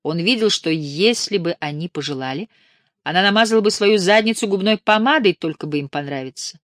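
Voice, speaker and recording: Low-voiced woman reading an audiobook. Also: animated, brisk, no room sound, studio quality. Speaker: audiobook narrator. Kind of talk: scripted audiobook narration.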